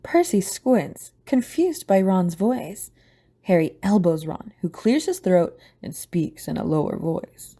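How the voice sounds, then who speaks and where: A woman's voice: on the low side, sing-song, takes it slowly, dark tone, breathy edge. A woman narrating a scripted audio drama.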